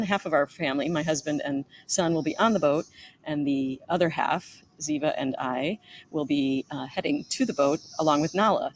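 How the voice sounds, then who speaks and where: A woman's voice: low voice, slight background noise. A middle-aged woman reading her own memoir aloud as its author, in a storytelling manner with the occasional stumble.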